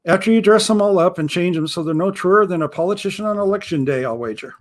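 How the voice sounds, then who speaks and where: A man voicing a gruff, wary old male pirate with a rumbling baritone and a salty pirate-style accent, calling everyone lad. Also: quick pace, lightly acted. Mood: gruff, wry. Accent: pirate-style rustic accent.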